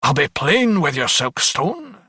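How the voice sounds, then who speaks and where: A man's voice: on the high side, very animated, a little slow, pushed and bright, husky, no echo, clean studio sound, pitched above the performer's own voice, exaggerated voice. A man voicing an elderly physician in an audiobook, arrogant, dismissive and condescending. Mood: arrogant, curt. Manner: condescending, blustering. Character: elderly Scottish male physician, arrogant, dismissive asylum principal.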